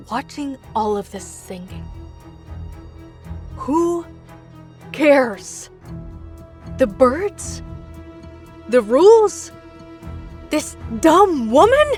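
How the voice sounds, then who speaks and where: A woman's voice: high voice, exaggerated voice. A woman acting as a scared, bitter helpline caller in an audio drama, telling things in clipped, sardonic fragments.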